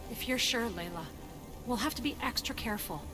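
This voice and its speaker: A woman's voice: on the high side, animated, brisk, long reverb tail, close to an everyday voice. Cautious woman doctor over music, acted. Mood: cautious, protective. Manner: concerned, cautious. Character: protective, cautious woman doctor in a modern-day team. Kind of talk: scripted game cutscene acting.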